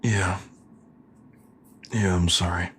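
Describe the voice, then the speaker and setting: A man playing a shaken, weary sergeant who speaks hesitantly and haltingly in a sci-fi drama. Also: low voice, steady tone, fairly bright tone, exaggerated voice. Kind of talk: dramatic sci-fi audio acting. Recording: clear background ambient noise.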